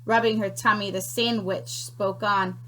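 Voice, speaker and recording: A woman teacher reading a picture book aloud to children, expressive and unhurried, pausing to ask questions. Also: mid-range voice, slight background noise, poor audio.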